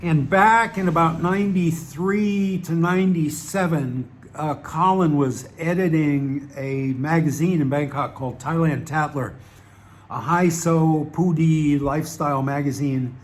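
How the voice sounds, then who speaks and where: A man's voice: fairly high pitch, unhurried. A man with a Southern American accent, talking to his channel in a wry, digressive way, full of fillers and restarts. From Southern United States. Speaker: channel host. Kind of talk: rambling casual monologue.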